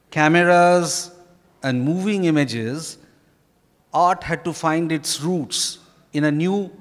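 A middle-aged man with an Indian accent, speaking deliberately and haltingly as an interviewed author reading prepared remarks on stage. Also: high voice, a bit bright. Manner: slow, deliberate, halting delivery. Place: hotel ballroom stage. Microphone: handheld microphone. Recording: echoey ballroom with long reverb.

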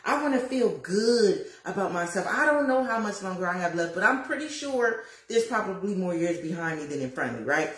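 A woman in her fifties talks straight to her viewers while she cooks, lively and a little preachy, calling out to them as y'all. Her voice sits at an ordinary middle pitch. It is picked up by a phone at arm's length and bounces around a roomy home kitchen. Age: 50s. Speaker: lifestyle vlogger. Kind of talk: casual livestream chat while cooking. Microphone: phone camera at arm's length.

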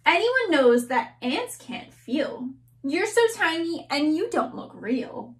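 Casting: woman doing a cocky little storybook boy, high voice, slow taunting rhyme. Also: big pitch swings, exaggerated voice. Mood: boastful. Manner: taunting, slow rhyming delivery. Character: boastful young boy with a raised shoe.

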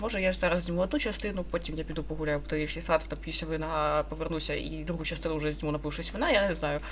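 A woman's voice: on the low side, slight background noise. A woman in her twenties giving casual let's-play commentary in a hesitant, rambling way, often correcting herself. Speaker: gaming video host. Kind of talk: casual let's-play commentary, reading game dialogue aloud.

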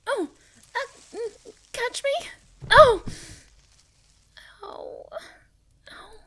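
Slow, soft, timid moth girl played by a woman. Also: extremely high pitch, animated, exaggerated voice. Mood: startled, hurting. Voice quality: soft, breathy, often near a whisper. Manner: hesitant, stammering, gentle. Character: sweet, timid, ditzy young moth girl monster. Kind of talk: ASMR roleplay acting.

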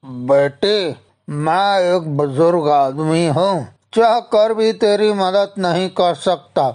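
A man's voice: high-pitched, slow pace, a little mellow. A man playing a frail, resigned old villager in a cartoon story. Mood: regretful.

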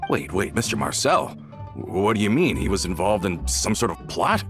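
An actor playing an earnest, loyal member of a gang in a video game, speaking in a questioning, sincere manner. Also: male voice, low voice, big pitch swings, normal speed, over music. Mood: curious, friendly. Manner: earnest, questioning, hesitant when shocked. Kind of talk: video game character dialogue.

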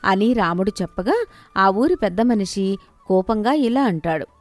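A woman's voice: medium pitch, very animated, moderate pace, no room sound. A woman reading a scripted moral story as its storyteller. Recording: music behind the voice.

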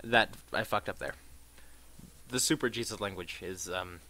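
A young man giving a casual let's-play commentary, crude and rambling, with self-mocking jokes and sudden loud outbursts. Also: lowish voice, moderate pace, a little hiss or hum. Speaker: gaming commentator. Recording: game music loud underneath. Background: electronic dubstep game music.

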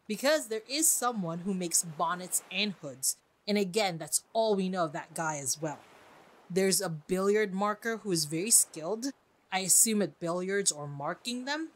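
A woman hosting a podcast, giving chatty solo commentary on literature with wry, playful asides. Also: mid-range voice. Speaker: podcast host.

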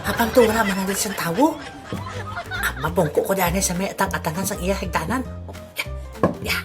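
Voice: medium pitch, lively intonation, fairly quick, music in the background, lightly acted. A performer playing a nosy, easily shocked villager with a Filipino accent in a comic audio drama, muttering hesitant asides. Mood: chatty, amused. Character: Albedo, nosy, easily shocked village man eavesdropping on friend.

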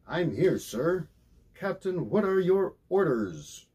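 A man's voice: high voice, very animated, slow, darkish tone, breathy edge, pitched above the performer's own voice, heavily acted. A man voicing a loyal, dutiful expedition helper in a storybook, addressing his leader with military formality.

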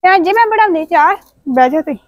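A woman acting out a young wife who is sweet to faces and bitter behind backs. She has a high voice and shifts between placating and grumbling. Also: animated, fast. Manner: politely placating aloud, muttering grudges aside. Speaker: wife of the brother. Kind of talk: village family drama acting.

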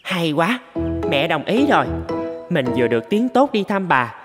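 An actor playing a playful, mischievous little boy elephant in a children's cartoon, with a childlike, expressive delivery. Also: medium pitch, moderate pace, natural voice. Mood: gleeful. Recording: music bed behind the voice.

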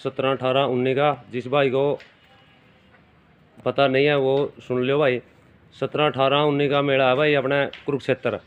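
A man's voice: mid-range voice, level tone, normal speed, smooth. A man with a Haryanvi accent giving informal, emphatic livestock commentary outdoors and putting questions to a farmer, repeating himself for viewers. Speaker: livestock video presenter and interviewer. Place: open farmyard with brick walls. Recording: dull phone audio with faint noise.

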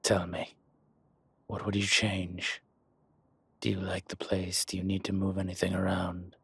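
An actor playing a commanding, teasing, protective dragon mob boss in an intimate audio drama, with a husky, breathy voice and deliberate delivery. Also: male voice, sits low, completely flat delivery, a bit bright, dry recording, full character voice. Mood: playful, relaxed.

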